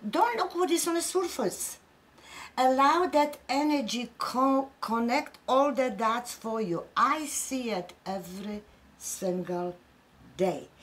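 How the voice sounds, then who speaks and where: Woman, Polish accent, middle-aged, earnest storyteller, lively swooping pitch. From Poland. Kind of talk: casual spiritual chat.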